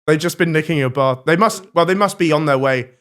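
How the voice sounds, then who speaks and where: A young man hosting a comedy podcast, deadpan with frequent giggles. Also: on the high side, a little fast. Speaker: host. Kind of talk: casual comedy podcast chat.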